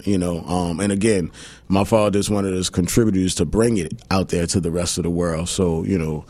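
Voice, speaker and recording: A man, a musician and Big Chief, talking in a relaxed, humble and thoughtful way in a radio interview, with many fillers. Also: low-pitched, dry recording. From New Orleans. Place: radio studio.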